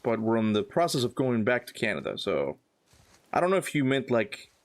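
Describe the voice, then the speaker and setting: A man's voice: medium pitch, sing-song, fairly quick. Chatty Cuban-born man streaming a game. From Cuba. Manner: chatty, reads chat aloud, laughs often. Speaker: gaming streamer. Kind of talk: casual livestream chat while playing.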